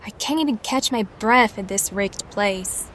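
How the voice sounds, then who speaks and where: A woman's voice: medium pitch, a little slow, fairly bright tone, natural voice. An actress playing an anxious young woman survivor in an audio drama. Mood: distressed.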